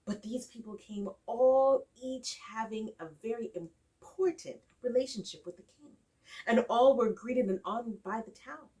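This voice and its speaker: A woman telling a folktale in an expressive, dramatic style with deliberate phrasing and emphatic repetition. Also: on the high side. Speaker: storyteller. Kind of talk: expressive oral folktale storytelling. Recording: distant microphone.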